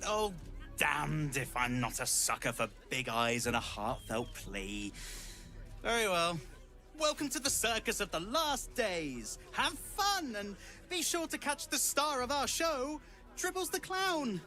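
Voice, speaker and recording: An actor playing a theatrical circus gatekeeper in a video game, grandiose and slyly courteous. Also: male voice, high voice, very animated, measured pace, pushed and bright, soft background music, heavily acted. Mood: relenting, grand. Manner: grandiose, oily politeness.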